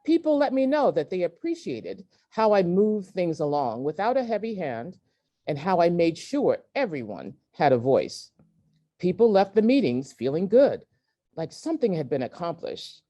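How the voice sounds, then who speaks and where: A woman reading memoir excerpts aloud in a measured, emphatic way, with deliberate pauses. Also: fairly low pitch, sing-song, dark tone. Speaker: guest reader at a virtual event. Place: indoors at a community center, on an online event.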